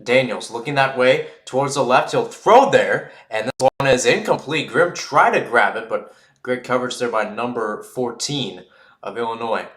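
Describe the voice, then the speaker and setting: A man calling live American football play-by-play in an excitable radio style, mixing big calls with casual asides. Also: medium pitch, moderate pace. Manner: excitable, calls surging plays loudly then drops back to casual asides. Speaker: play-by-play sports commentator. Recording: roomy echo on the voice.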